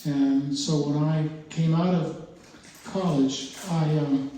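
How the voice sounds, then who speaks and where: An older man speaks about his work with a mellow, relaxed voice, pausing often and restarting his sentences. He sounds distant from the microphone, his voice ringing through a very echoey indoor gallery. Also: a little high. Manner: halting, many uhs and restarts. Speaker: exhibiting artist. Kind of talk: informal gallery talk. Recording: very echoey room, voice far from mic, faint noise.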